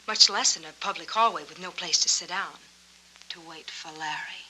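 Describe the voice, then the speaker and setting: Coy, very bright-voiced femme fatale. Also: female voice, lowish voice, normal speed, a little hiss or hum, rough recording. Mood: coy, affected. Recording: vintage 1940s film soundtrack.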